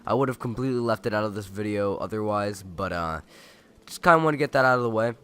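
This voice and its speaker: A young man with a cold, his nose stuffed and his voice raspy, rambling chattily and correcting himself as he comments on a video game. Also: lowish voice, quick pace, fairly bright tone. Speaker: let's play gamer. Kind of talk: casual gameplay commentary.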